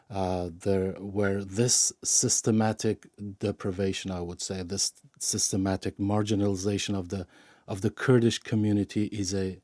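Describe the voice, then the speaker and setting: A man answering interview questions as a media analyst, halting with frequent restarts and fillers. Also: low-pitched, dark tone, dry recording, clean studio sound. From Iran.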